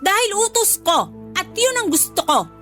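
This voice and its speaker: A woman's voice: very high-pitched, very animated, moderate pace, fairly bright tone, faint music behind, exaggerated voice. An actress playing a cruel, haughty stepmother in a radio drama, scolding and bossy. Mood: bossy, taunting. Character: cruel, domineering live-in stepmother, spiteful and haughty. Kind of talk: Tagalog radio drama acting.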